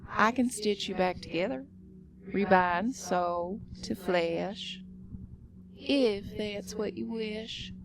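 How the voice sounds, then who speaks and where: A woman's voice: mid-range voice, slow, gravelly, noisy background. A woman playing a coaxing otherworldly spider. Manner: gentle, wheedling, playful then hushed. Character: coaxing otherworldly female spider, sly and soft. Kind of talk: horror story voice acting.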